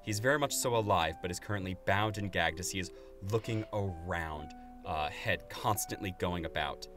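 A man's voice: low voice, normal speed. A man narrating a tabletop game as game master. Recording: music playing behind.

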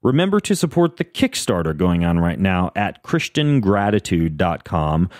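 A man hosting a podcast in casual chat, rambling through lists with a fairly even, monotone delivery. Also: deep voice, normal speed, no echo, clean studio sound. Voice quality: self-described monotone. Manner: rambling, lists things off, frequent fillers and restarts. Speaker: podcast host.

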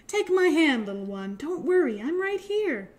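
Lady doing a gentle mama penguin from a kids story, high voice, tender and coaxing. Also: very animated, moderate pace. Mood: soothing. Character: gentle, reassuring mother penguin. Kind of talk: children's storybook read aloud.

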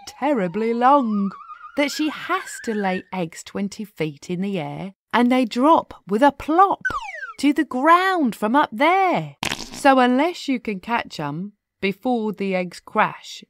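Animated woman reading a children's storybook. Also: medium pitch. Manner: animated, deliberate, playful storytelling. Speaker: storybook narrator. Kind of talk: expressive children's read-aloud narration of rhyming verse.

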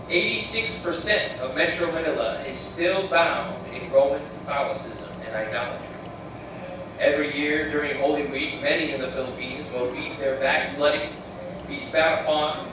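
A man in his thirties from the United States, giving an earnest, measured missionary presentation. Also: fairly high pitch. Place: church sanctuary, voice played on screen video. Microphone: recorded from room speakers by far camera. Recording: roomy, distant, noisy church playback.

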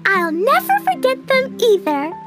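A woman's voice: very high-pitched, big pitch swings, a little slow, loud music behind the voice, full character voice. A woman voicing a cheerful, innocent, wide-eyed little girl in a video game, exclaiming in a childlike way. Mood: excited, delighted.

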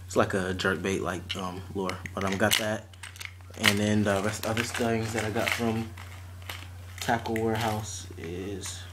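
A young man in his late teens chatting casually and haltingly as he presents items to a camera at home. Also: lowish voice, level tone. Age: teens to young adult. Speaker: vlogger showing fishing tackle. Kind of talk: casual unscripted unboxing chat. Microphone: camera or phone mic at arm's length. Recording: noisy amateur recording with clicks and rustling.

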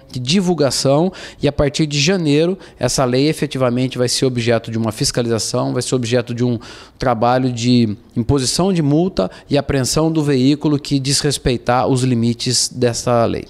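A man in his forties with a Brazilian accent, answering an interview as an official, formal and halting, indoors into a handheld microphone. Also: medium pitch, a little mellow. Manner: formal, halting with hesitant pauses. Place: indoors against a plain wall. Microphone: handheld interview microphone held to him.

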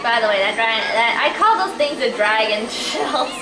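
A woman's voice: fairly high pitch, normal speed. A young woman chatting casually while playing a kart racing game with a friend, talkative and a little self-deprecating. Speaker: let's play host. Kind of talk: casual gameplay chat. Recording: echoey room with game music.

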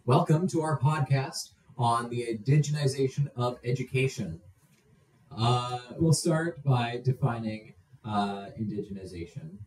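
A man's voice: mid-range voice, unhurried, darkish tone. A teenage boy from Saskatchewan with a Canadian accent, co-hosting a casual podcast discussion. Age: teens. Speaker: podcast co-host. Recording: roomy, distant microphone.

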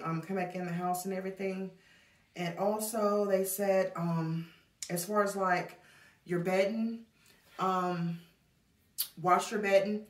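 Chatty woman vlogging, roomy echo. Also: medium pitch. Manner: chatty, addresses viewers as y'all. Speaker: home vlogger. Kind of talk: casual chat to camera. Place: small indoor hallway, later a child's bedroom. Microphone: camera on a stand a few feet away. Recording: echoey room, voice from a distance.

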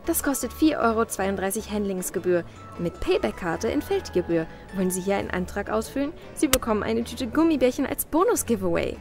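A woman playing a young, brisk, officious cinema counter clerk who rattles off sales jargon in a comedy cartoon sketch. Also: medium pitch, big pitch swings, over music. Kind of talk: comedy audio sketch acting.

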